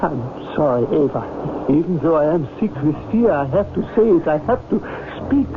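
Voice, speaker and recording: Through a noisy, phone-thin old radio broadcast comes an actor as a meek, frightened man. His voice is high and he pleads slowly and timidly. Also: lively intonation, phone-quality sound, natural voice. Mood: fearful, earnest. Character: frightened, meek German man, a doomed dictator's body double. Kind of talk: old-time radio drama acting.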